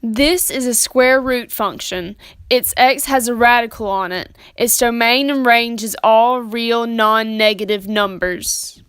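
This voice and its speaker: A woman's voice: on the high side, measured pace, fairly bright tone, smooth, no room sound, studio quality. A young woman giving scripted lesson narration as a student presenter, explaining plainly and methodically.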